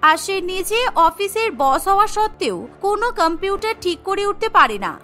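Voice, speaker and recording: Very high, bright woman storyteller. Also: lively intonation, brisk, soft background music. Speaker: narrator. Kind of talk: scripted cartoon story narration.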